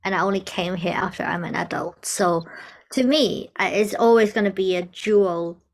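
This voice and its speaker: Young woman from Hong Kong, music therapist, animated and quick to laugh in casual discussion. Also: mid-range voice. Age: young adult. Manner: animated, laughs often, acts out quoted remarks. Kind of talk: conversational discussion.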